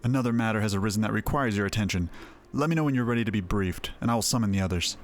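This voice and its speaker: A man's voice: fairly low pitch, rapid-fire, close to an everyday voice. An actor playing a grave, resolute male leader in a fantasy game, speaking in solemn, formal, archaic phrases. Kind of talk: scripted fantasy game dialogue.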